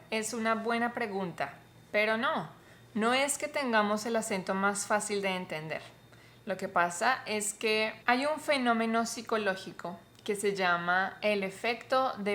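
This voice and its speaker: A woman's voice: mid-range voice, a bit bright. Colombian woman explaining to camera. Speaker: vlogger, Spanish teacher.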